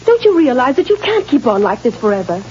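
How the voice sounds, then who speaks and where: A woman's voice: on the high side, very animated, fast talker, a little mellow. An actress playing an earnest, refined woman who anxiously implores, in 1930s radio drama style. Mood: worried, pleading. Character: worried, earnest society woman pleading with her beloved. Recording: dull, noisy 1930s radio recording.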